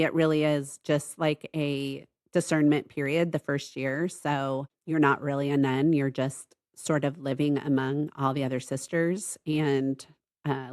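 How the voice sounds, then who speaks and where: A woman in her fifties with a low, deep voice, chatting casually on a family podcast. She tells stories loosely, backing up to fix details. The sound is dry and close. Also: dry recording. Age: 50s. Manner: rambling storytelling with self-corrections. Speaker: podcast co-host, mother.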